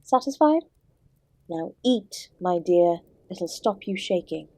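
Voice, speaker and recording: A woman's voice: fairly low pitch, expressive, takes it slowly, mellow, dry recording, exaggerated voice. Woman playing a suave, teasing female Devil, dry close sound. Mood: teasing, reassuring. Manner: genteel, teasing, theatrical, calling the listener "my dear". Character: charming, sardonic female Devil, ancient and courteous host. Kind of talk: scripted audio roleplay, addressing the listener directly.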